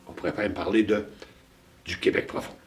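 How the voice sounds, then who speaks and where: A man's voice: on the low side, fairly even intonation, brisk. An older man with a Saguenay Québécois French accent, telling a playful, musing monologue about where he comes from. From Chicoutimi, Saguenay, Quebec. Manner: playful, musing, rising emphasis on place names. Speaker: storyteller host. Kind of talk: monologue with background guitar. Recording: music bed under voice.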